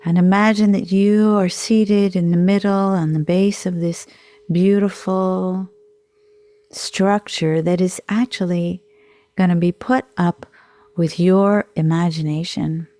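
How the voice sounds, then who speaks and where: A middle-aged woman leading a guided meditation in a soothing, unhurried manner with gentle pauses. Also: fairly low pitch, fairly even intonation, no room sound. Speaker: sound healer and meditation guide.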